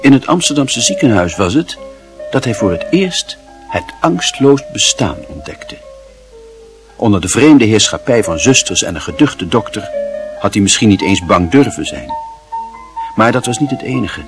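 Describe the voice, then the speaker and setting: A man narrating a radio play in a measured, expressive, literary storytelling style. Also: fairly low pitch, music in the background.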